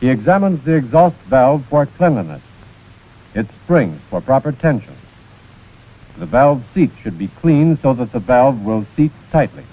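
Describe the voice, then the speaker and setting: A man's voice: mid-range voice, very animated, unhurried. An American man narrating a scripted 1940s instructional film in a precise, formal manner. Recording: muffled, scratchy 1940s film soundtrack.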